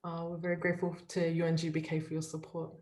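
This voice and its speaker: A woman hosts an online event with brief, polite announcements. Her voice is lowish and mellow and stays flat in pitch. Her voice echoes around the room. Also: quick pace, a little hiss or hum. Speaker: host. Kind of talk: event hosting. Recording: roomy echo.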